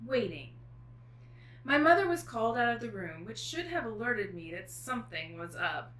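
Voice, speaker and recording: A woman author speaking as a guest, with an expressive, informal delivery for reading aloud and chatting. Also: mid-range voice. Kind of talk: expressive book reading and casual chat. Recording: echoey room with faint noise.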